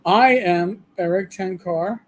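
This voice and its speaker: A man in his fifties hosts a livestream, his voice sitting fairly high. He talks at an unhurried pace, rambling and poking fun at himself, often stopping to restart a sentence. A faint hiss runs underneath. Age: 50s. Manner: rambling and self-deprecating, with halting restarts. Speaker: livestream co-host. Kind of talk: casual chat.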